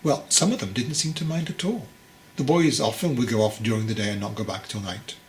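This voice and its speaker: A man's voice: mid-range voice, fairly quick, reverberant, natural voice. Guy doing a timid young monk hopeful, apologetic and earnest, storybook reading, some background noise. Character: fragile, anxious young male would-be monk, deferential. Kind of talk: storybook character dialogue.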